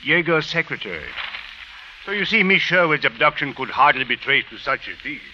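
An actor playing a guarded, officious police captain in an old-time radio drama, formal and precise in speech. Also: male voice, a little high, animated, a little fast, bright, forceful tone, slight background noise. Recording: lo-fi old radio recording, faint sizzle.

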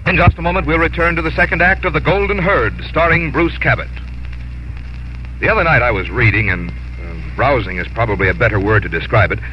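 A man's voice: medium pitch, muffled recording. A man working as a radio announcer, delivering a scripted sponsor message in 1950s broadcast style. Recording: faintly noisy 1950s radio recording.

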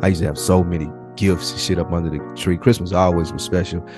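A man's voice: low voice, fairly even intonation, fast talker. A man co-hosting a podcast in casual, slangy conversation. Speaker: podcast co-host. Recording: music clearly playing behind the voice. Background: background music with guitar.

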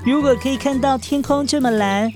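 A man voicing an excitable, curious young boy in a children's fairy tale, exclaiming with wonder. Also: extremely high pitch, brisk, over music, voice pushed up. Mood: awed, happy.

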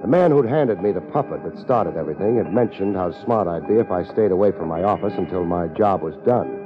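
An actor playing a laid-back, tough American private investigator, wry and deadpan, in 1950s radio drama style. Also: male voice, low voice, brisk. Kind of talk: 1950s radio detective drama acting and narration. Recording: muffled old radio recording with loud music.

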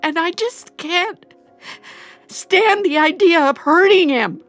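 An actress playing an anxious, regretful middle-aged mother in an audio drama, halting and justifying herself as she confesses. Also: extremely high pitch, fairly bright tone, faint music behind, full character voice. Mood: distressed, pleading. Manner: halting, self-justifying, repeats and trails off. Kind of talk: naturalistic audio drama acting.

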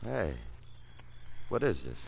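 A man's voice: fairly low pitch, sing-song, takes it slowly, creaky, darkish tone, husky, full character voice. A man playing a breezy, cocky, good-natured stage performer in a 1950s radio drama. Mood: subdued, wistful. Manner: informal, easygoing, teasing. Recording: vintage radio broadcast with music behind.

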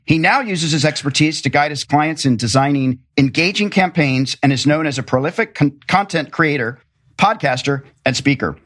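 Man, American accent, slightly high voice, podcast host, introductions and interview chat. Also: moderate pace, fairly bright tone.

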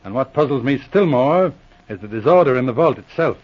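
An actor playing a thoughtful, kindly police sergeant who reasons deliberately, in 1940s radio drama style. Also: male voice, medium pitch, expressive, slightly rough. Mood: thoughtful, puzzled. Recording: muffled vintage radio recording.

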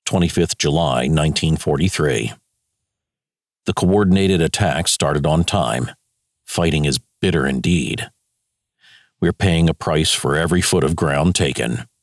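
A man's voice: a bass voice, measured pace, no echo, clean studio sound, exaggerated voice. A man with an American accent playing a stern wartime general, reading his logbook in clipped, grave military phrases. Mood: grave, disappointed.

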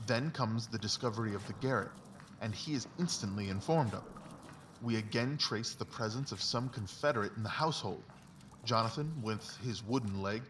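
A man playing a brilliant, confident, philosophical detective in a dramatized audiobook, explaining things in a measured, self-assured way. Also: medium pitch, fairly bright tone, recorded from a distance, slight background noise, lightly acted.

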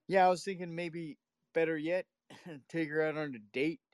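A man's voice: on the high side, steady tone, moderate pace, smooth, no room sound, studio quality. A man chatting casually as a participant in a live audio room. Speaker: audience participant. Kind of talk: casual chat.

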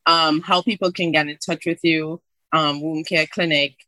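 A woman hosting a podcast, reading an introduction and then asking friendly, excited questions, often laughing. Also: deep voice, moderate pace, no room sound. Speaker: podcast host. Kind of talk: podcast interview.

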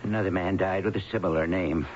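An actor in a radio drama playing an anxious, protective father who speaks in a halting, hesitant way. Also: deep voice, steady tone, natural voice. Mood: worried. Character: anxious, protective father of a troubled grown daughter. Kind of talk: old-time radio drama acting. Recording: dull, hissy 1970s radio broadcast.

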